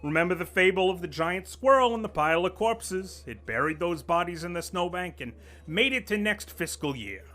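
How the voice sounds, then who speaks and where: A man's voice: sits high, lively intonation, normal speed, sharp tone. A man playing a pompous, sneering corporate boss. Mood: smug. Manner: pompous, condescending, lecturing. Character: pompous middle-aged male corporate boss, menacing and sly. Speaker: office vice president. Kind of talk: audio drama acting.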